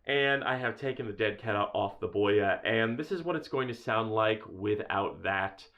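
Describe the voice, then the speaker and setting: A man with a deep booming voice giving a chatty, enthusiastic camera gear review, recorded on a changing mix of camera, lapel and wireless mics. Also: normal speed, lo-fi sound. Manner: enthusiastic, chatty with many fillers. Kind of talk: casual product review. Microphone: changing mics: built-in camera mic, lapel and wireless mic.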